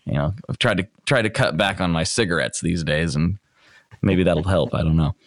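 Low-voiced podcast host with a radio voice. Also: male voice, moderate pace, no echo, studio quality. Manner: chatty and joking, radio-style delivery. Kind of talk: casual interview chat.

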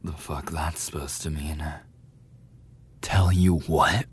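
Guy doing a pathetic lovesick school bully for asmr roleplay, really deep n raspy, slow and halting. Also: steady tone, heavily acted. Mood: smug, threatening. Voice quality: husky, breathy, often dropping to a whisper. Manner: halting, swearing, coughing between phrases. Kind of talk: boyfriend ASMR audio roleplay.

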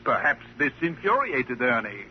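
An actor playing a vain, scornful stage magician in old radio drama, with theatrical, formal, speech. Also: male voice, mid-range voice, big pitch swings, normal speed, lightly acted. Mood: gloating. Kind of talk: 1950s radio drama acting. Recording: muffled, slightly noisy old radio recording.

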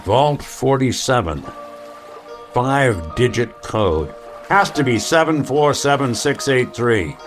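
A world-weary male detective in an old-time radio mystery. He talks in a dry, sardonic, hard-boiled way at a middling pitch. Faint music sits behind the voice. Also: lively intonation. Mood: wry. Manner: dry, hard-boiled, unhurried investigator's delivery.

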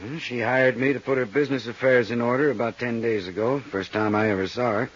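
A man playing a big, easygoing American accountant in his late forties in a 1950s radio drama, husky and chatty, with a folksy, rambling manner. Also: medium pitch, steady tone, a little fast, close to an everyday voice. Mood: chatty, explaining. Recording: dull, muffled 1950s radio recording.

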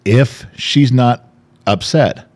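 A man's voice: mid-range voice, slow. A middle-aged man co-hosting a podcast, chatting casually and telling stories with self-mocking jokes. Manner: joking, self-mocking storyteller. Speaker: podcast co-host. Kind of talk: casual chat.

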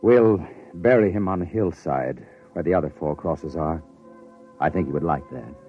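An actor playing a grave, commanding space captain in a classic radio drama, solemn and deliberate. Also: male voice, extremely low pitch, faint music behind. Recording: muffled, hissy old radio broadcast recording.

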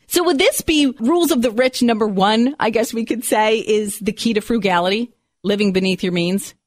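A woman's voice: fairly high pitch, normal speed, no room sound. A woman hosting a podcast, presenting to listeners and interviewing in a direct way. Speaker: podcast host.

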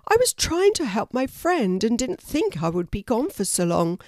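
A woman's voice: fairly high pitch, sing-song, normal speed, darkish tone, no echo, studio quality, voice pushed up. A woman voicing a helpful, reassuring mother wallaby with an earnest, motherly manner in a children's storybook. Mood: soothing, apologetic.

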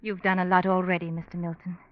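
An actress playing a gentle, anxious young well-to-do daughter in an old-time radio drama, earnest and hesitant. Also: female voice, fairly low pitch, little pitch movement, fast talker, lightly acted. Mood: worried. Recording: muffled vintage radio, faint music.

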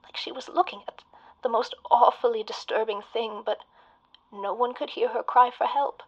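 An actress playing a haunted woman caller on a radio show, speaking in hushed, creepy tones that slip into whispers. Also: fairly high pitch, steady tone, normal speed, dull audio, natural voice. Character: haunted woman caller, eerie and quietly unsettling. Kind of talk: audio drama acting.

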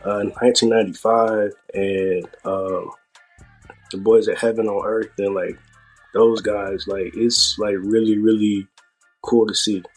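An American man speaking casually as an interview guest, with a halting delivery and a slight speech impediment. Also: fairly low pitch, flat delivery, slightly rough, soft background music, low-quality recording. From Georgia, United States. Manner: halting, filler-heavy delivery with self-described speech impediment. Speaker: podcast guest, hip hop artist.

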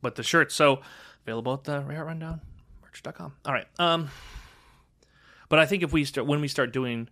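A man with a bright, forceful voice chats frankly on a podcast, often restarting his sentences. He sounds close and dry, with no room around him. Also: a little high, a little fast, no room sound. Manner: chatty, frank, stumbling restarts. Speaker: podcast co-host. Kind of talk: casual chat.

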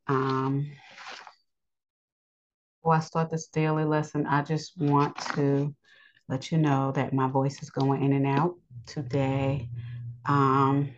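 A woman teaching a scriptural lesson on her podcast, her voice scratchy, talking in a rambling way with many pauses and ums. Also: sits low. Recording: dull lo-fi sound.

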